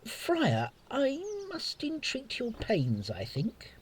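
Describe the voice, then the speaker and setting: Husky, lively lord in love. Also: male voice, extremely high pitch, slow pace, heavily acted. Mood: awkward, earnest. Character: witty, teasing male soldier lord in love. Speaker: actor in an audio Shakespeare play. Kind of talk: Shakespearean radio play acting.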